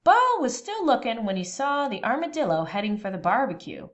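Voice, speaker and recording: A woman reading a children's storybook aloud expressively, with dramatic, deliberate pauses between phrases. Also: a little high, huge pitch swings, dull audio.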